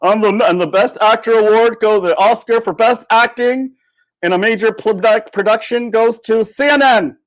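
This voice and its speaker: A man giving a casual, opinionated livestream rant, sardonic and emphatic, with halting starts and repeated phrases. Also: high voice, poor audio. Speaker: livestream host.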